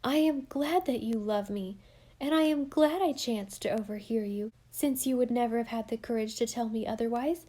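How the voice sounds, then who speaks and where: A woman reading the part of an earnest young woman in love, her voice lifted higher. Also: moderate pace, mellow, close to an everyday voice. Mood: earnest, pleading. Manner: earnest, raised in pitch.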